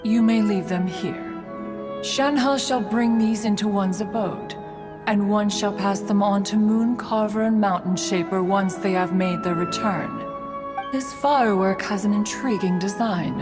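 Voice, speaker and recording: A woman's voice: medium pitch, measured pace, big-room sound, music in the background, natural voice. A woman voicing a dignified ancient female crane adeptus in a game, speaking formally and referring to herself as "one". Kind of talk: game voice acting.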